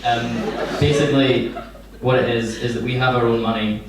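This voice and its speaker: Young Glaswegian stand-up, deadpan delivery, heard from far off in a very echoey, noisy room. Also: male voice, mid-range voice, normal speed. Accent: Glaswegian Scottish. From Scotland. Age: young adult. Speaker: stand-up comedian. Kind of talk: stand-up set. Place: comedy venue before an audience. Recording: echoey live room, distant mic, noisy.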